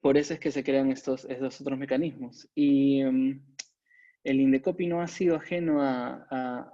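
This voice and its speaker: A man giving an informal expert lecture as a webinar presenter, speaking haltingly with frequent pauses over a video call microphone. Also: medium pitch, warm tone, no room sound. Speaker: webinar presenter, competition agency adviser. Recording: dull compressed webinar audio.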